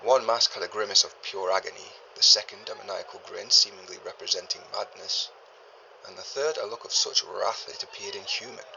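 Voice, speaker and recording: An actor playing a learned 1930s archaeologist, a man recording a formal, deliberate journal in grave and uneasy tones for an audio drama. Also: lowish voice, fairly even intonation, gravelly, sharp tone, muffled recording, slight background noise, heavily acted. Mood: haunted, pensive. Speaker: expedition leader keeping a recorded log. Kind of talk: scripted audio drama monologue, spoken journal.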